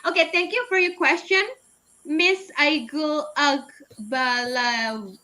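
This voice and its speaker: A woman's voice: high-pitched, takes it slowly, noisy background. A young woman with an Indonesian accent, answering webinar questions in a chatty way, laughing often, with many fillers and restarts. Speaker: guest speaker, English teacher.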